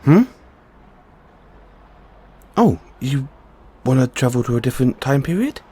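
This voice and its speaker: Man playing an excitable mad scientist, wildly swooping pitch. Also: a little slow, husky. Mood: playful excitement. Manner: theatrical, geeky, hesitant musing between outbursts. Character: excitable eccentric mad scientist boyfriend with time machine. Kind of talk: audio roleplay acting, addressed to listener.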